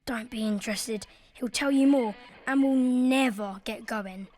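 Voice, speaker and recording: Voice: mid-range voice, measured pace, a little mellow, lightly acted. An actor voicing an earnest schoolboy in a children's cartoon, easily exasperated by parents. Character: Derek, earnest schoolboy drummer embarrassed by parents. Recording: music behind the voice.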